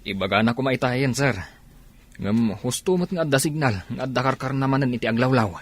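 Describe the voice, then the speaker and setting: Radio drama support: steady army buddy, played by a man, mid-range breathy voice, reasoning tone. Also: mid-range voice, brisk, natural voice. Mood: dutiful. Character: loyal, level-headed soldier comrade, reasoning and pleading. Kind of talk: Philippine radio drama acting.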